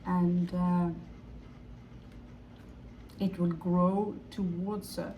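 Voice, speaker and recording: A woman from Norway with a Norwegian accent, giving an improvised tarot reading in a halting way, pausing and repeating her phrases. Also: fairly low pitch, level tone, muffled recording, slight background noise. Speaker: tarot reader.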